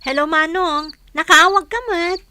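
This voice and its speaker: A woman playing a lively, girlish young market vendor in an Ilocano radio drama. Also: extremely high pitch, unhurried, background noise. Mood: concerned.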